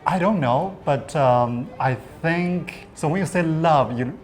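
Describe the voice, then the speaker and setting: A woman's voice: sits low, takes it slowly, darkish tone, faint music behind. A woman who teaches Chinese, explaining words patiently in casual chat with many fillers.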